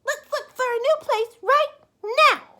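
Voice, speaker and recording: A woman's voice: unhurried, sharp tone, exaggerated voice. A woman voicing a bossy, fussy bird wife in a children's storybook, speaking emphatically and complaining. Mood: cross, insistent. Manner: emphatic, high-pitched complaining. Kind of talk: children's storybook read-aloud.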